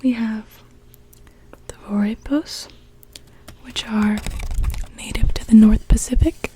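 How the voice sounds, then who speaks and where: A woman voicing a calm, mysterious sea-cove witch in an ASMR roleplay, speaking gently and slowly in a soft breathy whisper. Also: on the high side, a little hiss or hum. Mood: calm, explanatory. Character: calm mysterious cove witch, part mermaid, potion brewer.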